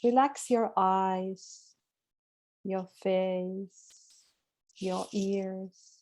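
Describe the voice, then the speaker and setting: A woman speaking as a webinar guest, softly and slowly leading a guided relaxation over a video call. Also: mid-range voice. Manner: calm, soft-spoken, slow guiding. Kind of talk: slow guided relaxation instructions.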